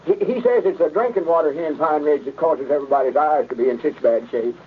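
A man's voice: on the high side, quick pace, close to an everyday voice. Man as good-natured dim country lad, hesitant, rural Southern drawl, old radio comedy, tinny noisy recording. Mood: earnest, simple. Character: slow-witted, good-natured young country fellow. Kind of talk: 1930s radio comedy acting.

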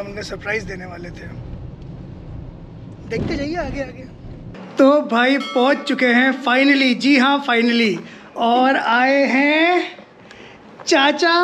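A man's voice: very high-pitched, a little hiss or hum. Chatty teasing vlogger man. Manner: chatty, teasing, laughs often. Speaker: travel vlogger. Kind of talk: casual family travel vlog. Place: roadside stall, car and family home.

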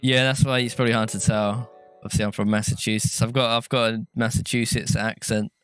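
A man's voice: lowish voice, flat delivery, brisk, fairly bright tone, clear, resonant tone, faint music behind. A man from Britain co-hosting a game stream, chatting casually, thanking donors and singing little jingles. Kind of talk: casual chat while gaming. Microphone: gaming headset.

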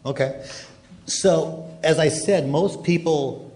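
A man's voice: fairly high pitch, slow pace, darkish tone. A man interviewing guests as host of a live panel on stage. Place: large hall stage, before an audience. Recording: noisy live hall recording.

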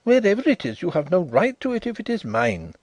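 A man voicing a proud, guarded young man of about twenty-five who flares into excited outbursts. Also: high voice, moderate pace, vocal fry, dry recording, pitched above the performer's own voice, heavily acted. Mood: agitated.

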